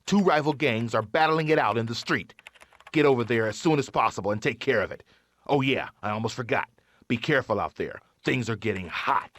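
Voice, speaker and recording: A man playing a calm police dispatcher. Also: medium pitch, fairly quick, a little hiss or hum, close to an everyday voice.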